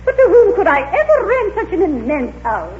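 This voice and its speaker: An old, echoey radio recording of a snooty society matron. Her high voice swoops about as she delivers flowery, hesitant sentences with genteel affectation in 1940s comedy style. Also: sing-song, moderate pace, a little mellow, muffled recording, slight background noise. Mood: haughty, genteel. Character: haughty, fluttery middle-aged society matron with pretensions.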